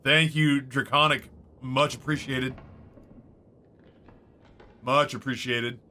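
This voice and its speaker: Hoarse man streaming a game. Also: mid-range voice, steady tone, slow, pushed and bright, a little hiss or hum. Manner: casual, chatty, thanks viewers, swears, restarts often. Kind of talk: live gameplay commentary.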